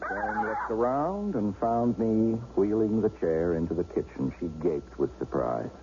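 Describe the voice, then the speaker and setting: An actor narrating as a male artist who tells his own story in a measured, reflective way, in a 1970s radio drama. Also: fairly low pitch, lively intonation, slight background noise. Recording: dull 1970s radio broadcast with loud music underneath.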